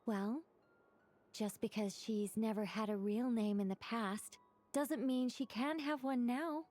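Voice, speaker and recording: A woman's voice: fairly high pitch, unhurried, a little mellow, faint music behind, lightly acted. A woman voicing a knowing angel mage in a video game, chatty and explanatory while trying to stay solemn. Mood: bright, reassuring. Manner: explanatory, rambling, self-correcting.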